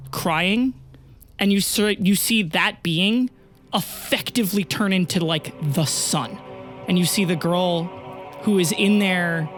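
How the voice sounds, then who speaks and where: A woman narrating a tabletop roleplay audio drama as game master, casual in wording with dramatic pauses. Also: lowish voice.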